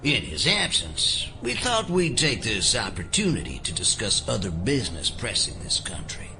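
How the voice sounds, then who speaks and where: Deep, gravelly syndicate elder. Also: male voice, huge pitch swings, moderate pace, bright, forceful tone, a little hiss or hum, full character voice. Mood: formal, authoritative. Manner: formal, authoritative. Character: commanding senior male syndicate elder, formal official. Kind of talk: audio drama acting.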